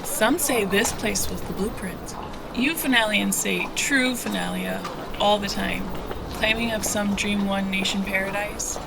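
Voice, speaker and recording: Woman playing cocky smuggler lady, gravelly, slangy and taunting, audio drama w/ noisy ambience behind. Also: mid-range voice, a little slow. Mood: defiant. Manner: folksy slangy, taunting, irreverent. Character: brash, sly smuggler woman, irreverent streetwise guide.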